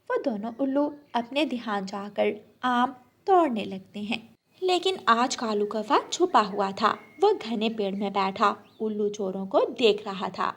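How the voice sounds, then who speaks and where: A woman narrates a children's story from a script. Her voice is on the high side. She delivers it as a storyteller addressing young listeners.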